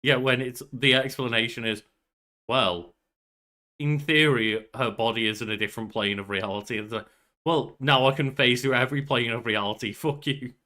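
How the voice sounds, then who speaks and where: A man's voice: mid-range voice, steady tone, normal speed, pushed and bright. A man in his thirties co-hosting a podcast with casual, joking commentary and some swearing. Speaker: podcast co-host.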